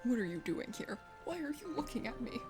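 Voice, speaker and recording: A woman's voice: fairly high pitch, very animated, a little fast, over music. A woman voicing a haughty, vain young warrior prince in a video game read-aloud, fussy and indignant. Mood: flustered, defensive. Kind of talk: breathy ASMR game character read-aloud.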